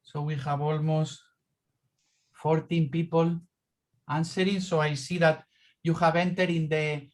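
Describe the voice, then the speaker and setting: A man moderating an online meeting over a video call, halting and repeating words as he calls on participants. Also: on the high side.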